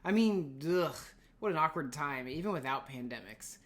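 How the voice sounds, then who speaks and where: A woman's voice: sits low, animated, moderate pace. A woman teaching an informal scripted lesson for middle schoolers, chatty and playful with emphatic asides.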